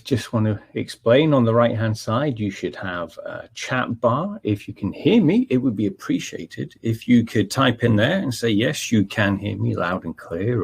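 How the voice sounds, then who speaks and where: A man's voice: mid-range voice, gravelly, a little mellow, slightly rough. A middle-aged Scottish man with a Scottish accent hosting a live technical webinar, talking conversationally with many fillers and restarts. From Scotland. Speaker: webinar presenter, technical manager.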